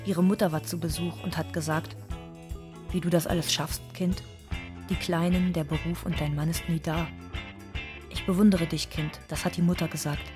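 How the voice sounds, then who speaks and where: An actress playing a guarded, bitter migrant woman with a husky voice, speaking in short insistent phrases in a monologue. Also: lowish voice, flat delivery, moderate pace, over music, close to an everyday voice. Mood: bitter, wounded. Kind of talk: stage-like monologue in audio drama.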